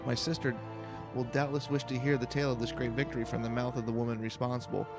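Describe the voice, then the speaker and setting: A man reading game dialogue aloud in a relaxed let's play style, now and then tripping over a phrase. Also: medium pitch, fast talker, music in the background. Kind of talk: reading game dialogue aloud in a calm, slow-paced playthrough.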